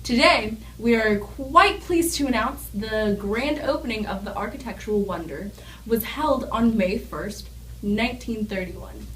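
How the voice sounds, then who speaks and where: A woman's voice: a little high, lively intonation, unhurried, fairly bright tone. Woman reading news, slightly distant from mic. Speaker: news anchor in an amateur newscast. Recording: distant mic, faint background noise.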